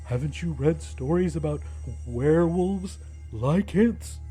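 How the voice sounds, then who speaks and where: A man's voice: a little high, slow, mellow, slightly rough, soft background music. A man playing a frightened, foul-mouthed man who is falling apart in a horror audiobook, whiny and frantic. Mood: shaken, hushed. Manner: whiny, frantic, sobbing, hissing. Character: panicked, foul-mouthed, gibbering man cowering from monsters.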